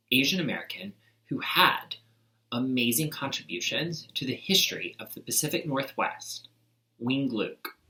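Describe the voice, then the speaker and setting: A woman presenting a school news segment, explaining clearly to pupils. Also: very deep voice, bright, forceful tone, recorded from a distance, poor audio. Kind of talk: informative school announcement. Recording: voice a little away from the mic.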